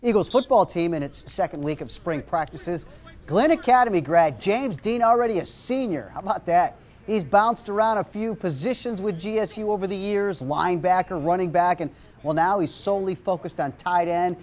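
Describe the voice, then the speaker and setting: A middle-aged man anchoring sports news in a TV studio, reading scripted stories and calling highlights. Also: moderate pace, a little mellow.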